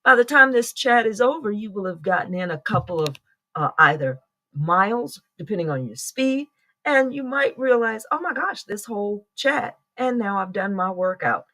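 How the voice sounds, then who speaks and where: A woman's voice: mid-range voice, animated, moderate pace, bright, forceful tone, poor audio. A middle-aged woman hosting a casual morning livestream, rambling in a friendly way, greeting viewers by name and laughing often. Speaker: livestream host.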